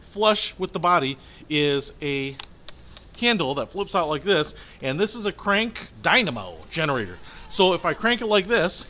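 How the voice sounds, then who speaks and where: A man's voice: on the high side, pushed and bright. A man presenting a product review in an upbeat, informal, colloquial way, talking through a hands-on demonstration. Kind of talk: casual product demonstration to camera.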